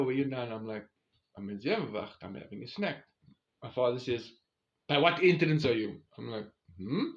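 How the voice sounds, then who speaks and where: A South African man of about forty shares a personal story as a vlogger. His voice sits at an ordinary middle pitch, and he talks casually and conversationally, straight to his listeners. The recording is a little muffled and lo-fi.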